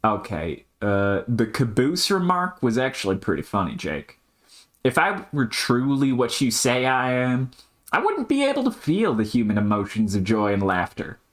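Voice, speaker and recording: A man voicing an aloof, pedantic artificial intelligence in amateur scripted dialogue, deadpan and brusque, with wordy, technical phrasing. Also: medium pitch, normal speed, close to an everyday voice. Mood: dry, detached.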